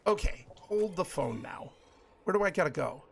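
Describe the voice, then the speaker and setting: A man's voice: high-pitched, lively intonation, vocal fry, a little hiss or hum. A man in his forties live-streaming a game, chatting casually with viewers and laughing often. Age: 40s. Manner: chatty, rambling, quick to laugh. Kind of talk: casual live-stream chat while gaming.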